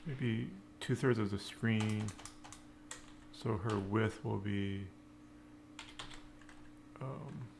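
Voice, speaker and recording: A man giving a casual coding screencast, thinking aloud in halting, unscripted phrases. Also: fairly low pitch, steady tone, gravelly, background noise. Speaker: programming tutorial presenter.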